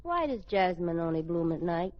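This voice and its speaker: An actress playing a playful, scheming sitcom wife in an old radio comedy, with a coy, wheedling delivery. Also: female voice, on the low side, big pitch swings, moderate pace. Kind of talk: 1940s-50s radio sitcom acting. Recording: dull old radio recording.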